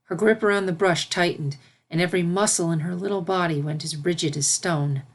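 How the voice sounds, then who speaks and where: A woman's voice: lowish voice, steady tone, a little fast. A woman reading a story aloud as its narrator, in scripted storytelling style. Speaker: fan fiction reader and narrator.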